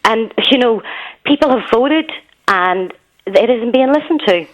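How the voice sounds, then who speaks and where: A woman's voice: on the high side, expressive, a little slow, highs cut off. Earnest Belfast woman calling in. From Northern Ireland. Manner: earnest, hesitant with many ums. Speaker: caller. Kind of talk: phone-in call.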